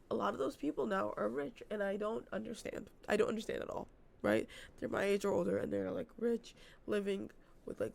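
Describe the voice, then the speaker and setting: Vlogger, young woman, hoarse with a very gravelly fry, chatty and rambling, off-the-cuff talk. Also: medium pitch. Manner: rambling, filler-heavy, self-described yappy. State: losing her voice, hoarse. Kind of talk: casual unscripted vlog chat. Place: apartment.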